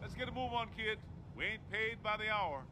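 An actor playing a level-headed, wisecracking ghost hunter in a video game, wry and encouraging in a colloquial way. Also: male voice, high-pitched, moderate pace, background noise, natural voice. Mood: wry, casual. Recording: loud game music and effects behind.

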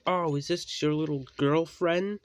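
A man's voice: on the low side, fairly even intonation, normal speed, background noise, close to an everyday voice. A man voicing a naughty male engine, teasing and blustering, in an amateur children's story. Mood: taunting.

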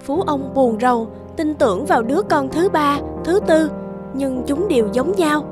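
High-pitched woman narrating a folk tale. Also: moderate pace, over music. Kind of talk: scripted storybook narration.

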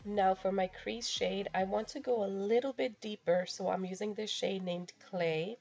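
A woman's voice: on the low side, creaky, darkish tone, lo-fi sound. A young woman with a nasal voice from a cold, talking casually through a makeup tutorial voice-over. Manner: casual, chatty, a little crude. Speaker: beauty vlogger.

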